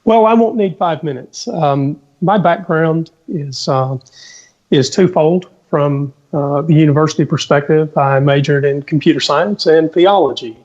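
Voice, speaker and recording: A man's voice: on the high side, measured pace, darkish tone. Dry skeptic man, muffled remote audio. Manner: dry, challenging, wry. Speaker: skeptic panelist. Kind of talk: casual panel chat.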